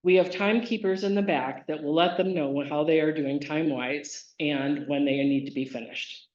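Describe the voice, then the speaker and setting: A nominating committee member reads prepared remarks in a measured, formal way at a members' meeting. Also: medium pitch, steady tone, darkish tone. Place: meeting hall. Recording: roomy, dull meeting recording.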